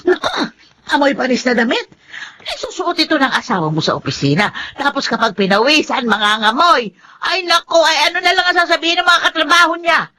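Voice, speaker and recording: A Filipino actress playing an elderly, exclamatory mother-in-law and grandmother in a radio drama, fussy and quick to nag. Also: high-pitched, expressive, pushed and bright. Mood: scolding, exasperated.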